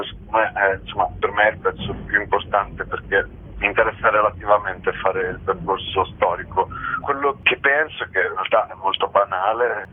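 Low-voiced man on a tinny phone line. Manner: reflective, halting, repeats phrases. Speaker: guest theatre actor and author. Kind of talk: spoken radio interview.

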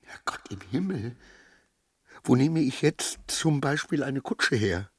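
A man's voice: mid-range voice, lively intonation, slow pace, husky, no room sound, studio quality. An actor playing a distraught, obsequious man losing his grip in a radio play, rambling and questioning himself in formal, old-fashioned phrases. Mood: frantic, pleading.

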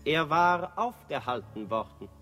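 An actor playing a solemn town herald, declaiming deliberately in a German radio play. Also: male voice, a little high, lively intonation. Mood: solemn proclaiming. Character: solemn proclaiming town herald announcing the king's return. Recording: long reverb, music behind.

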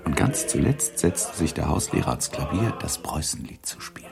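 A man narrating a literary radio play in a measured, dryly ironic storytelling manner. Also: extremely low pitch, sing-song, vocal fry, over loud music.

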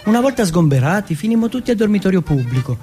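A man from Turin performing an autobiographical theatrical monologue, telling it in measured short phrases and lists. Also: high-pitched, big pitch swings, mellow, soft background music. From Turin, Italy.

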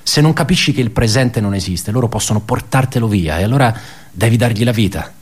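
An actor playing an embittered, pedantic male office worker in a radio theatre monologue, halting and repeating his words as he puts rhetorical questions. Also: medium pitch, animated, breathy edge. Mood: brooding, bitter.

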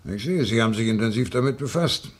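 A probing detective played by a male actor in a radio crime play. His mid-pitched voice is very husky, and he talks in a dry, terse, calm way. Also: quick pace, lightly acted. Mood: shrewd, probing. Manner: terse, questioning, matter-of-fact. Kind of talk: German radio crime drama acting.

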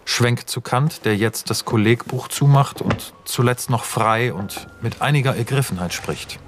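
A man's voice: mid-range voice, measured pace, background noise, close to an everyday voice. An actor as a male narrator reading screenplay directions in a radio drama, factual and clipped in his delivery. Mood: matter-of-fact. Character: matter-of-fact male narrator announcing film-script scenes.

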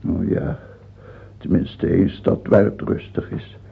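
An actor playing a dying, cruel king in his sixties in a radio play, speaking haltingly in gasps with sudden outbursts. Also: low voice, level tone, exaggerated voice. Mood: defiant, peevish. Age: 60s. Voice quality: husky, creaky, gasping. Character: dying sixty-year-old tyrant king, bitter, cruel, raving. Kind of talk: theatrical radio drama acting. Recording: old lo-fi radio recording, phone-like.

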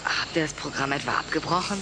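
Male actor as schoolboy, slightly high voice, curious, polite, dryly sceptical, audio drama, loud insect and bird noise. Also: fast, close to an everyday voice. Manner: questioning, politely dry. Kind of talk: children's audio drama acting.

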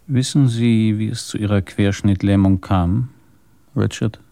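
An actor playing a calm, methodical police inspector who reasons matter-of-factly in a German radio crime drama. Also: male voice, fairly low pitch, a little slow, creaky. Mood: measured, grave.

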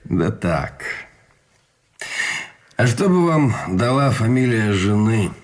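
An actor playing a cynical, smugly self-justifying imprisoned Russian officer in a radio drama, with a sardonic, theatrical drawl. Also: male voice, on the low side, sing-song, slow.

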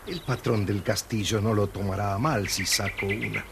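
A scared old merchant dad, soft pleading voice, storybook record. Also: male voice, fairly low pitch, steady tone, normal speed, vocal fry, noisy background. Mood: admiring. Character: poor old merchant father, timid and pleading. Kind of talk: 1980s children's audio story acting.

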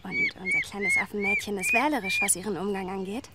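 An actress playing a friendly nurse who looks after animals in a radio drama, talking in a coaxing, animated way. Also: female voice, mid-range voice, brisk, very noisy. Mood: coaxing, amused.

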